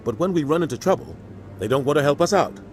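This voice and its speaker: An actor playing a middle-aged veteran military captain in a video game, earnest, weighty and plain-spoken. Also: male voice, fairly high pitch, expressive, fast, natural voice. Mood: explaining. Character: grave veteran military captain, male, protective and embittered. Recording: faint music behind the voice.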